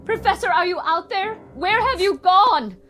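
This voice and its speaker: An actress playing a kindly, anxious housekeeper in a radio drama, tender and deferential in manner. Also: female voice, very high-pitched, expressive, normal speed, a bit bright, faint music behind. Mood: alarmed. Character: devoted, kindly, anxious woman housekeeper to a professor.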